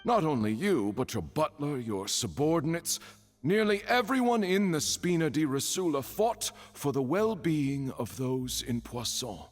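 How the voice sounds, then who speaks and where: An actor playing a smooth, self-assured male accuser with a grand, theatrical delivery. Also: a little high, animated, measured pace, in a large hall, soft background music.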